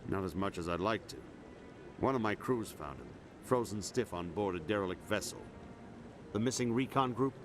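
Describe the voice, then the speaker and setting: A man's voice: on the low side, expressive, normal speed, close to an everyday voice. Man playing a worried admiral. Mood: urgent. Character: worried male admiral, pleading. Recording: game audio, noisy.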